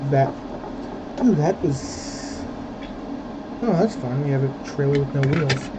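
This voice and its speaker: A woman with a low, masculine-sounding voice, chatting casually on a livestream and often restarting her phrases. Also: animated, slow pace, very noisy. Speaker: livestreamer sorting model trains.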